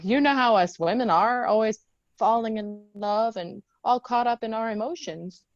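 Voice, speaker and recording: A woman's voice: fairly high pitch, moderate pace, dry recording, dull audio, lightly acted. A woman acting a wounded, defiant, sarcastic Marine officer in a screenplay table read. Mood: bitter sarcasm. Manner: sarcastic, emotional.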